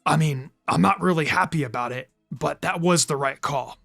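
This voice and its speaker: A man's voice: on the high side, normal speed, vocal fry, a bit bright, soft background music, pitched below the performer's own voice. A man voicing a blunt, protective teenage boy ninja with a curt, controlled manner in a story reading. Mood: resigned, practical.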